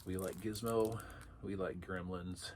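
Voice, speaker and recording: A man with a low, gravelly voice, thick with congestion because he is under the weather. He rambles casually through an unboxing and drops dry little asides. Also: fairly even intonation, a little slow, a little hiss or hum. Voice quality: raspy. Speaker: vlog host.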